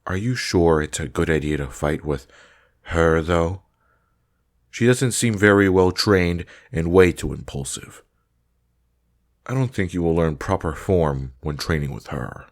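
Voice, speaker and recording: A man's voice: low-pitched, unhurried. A man playing a stern, demanding combat training master in a fantasy audio roleplay, speaking in a curt, formal, commanding way.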